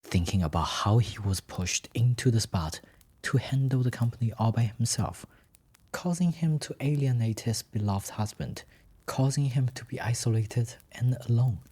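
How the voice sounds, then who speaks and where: A man's voice: mid-range voice, normal speed, warm tone, husky. A man narrating a scripted, melodramatic story.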